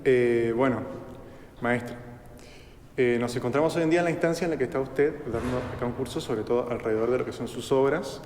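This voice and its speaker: A man's voice: mid-range voice, fairly quick, darkish tone. A man interviewing a guest, asking long polite questions full of fillers. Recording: echoey room with hall reverb, faint noise.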